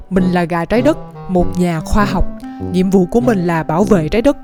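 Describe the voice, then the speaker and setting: A woman's voice: fairly low pitch, unhurried, close to an everyday voice. A woman voicing a talking rooster scientist in a cartoon, secretive and earnest, with a southern Vietnamese accent and an explanatory, dramatic delivery. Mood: reassuring, earnest. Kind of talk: animated cartoon dialogue. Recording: music behind the voice.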